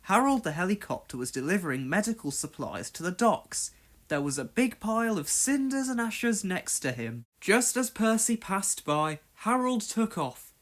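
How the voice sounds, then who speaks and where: Storybook narrator for kids, medium pitch, really animated, expressive read-along.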